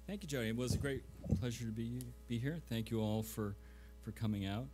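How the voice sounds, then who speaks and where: A man's voice: mid-range voice, fairly quick, dark tone, faint music behind. A middle-aged man speaking and reciting as a guest reader at a live poetry event.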